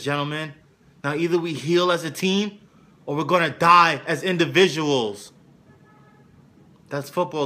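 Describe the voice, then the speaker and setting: Guy doing a worn middle-aged football coach giving a big intense movie speech, forceful bright voice, slightly high. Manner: intense, swings from quiet confessing to shouted exhortation. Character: weary middle-aged male football coach rallying his team. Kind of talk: dramatic film monologue, locker-room speech.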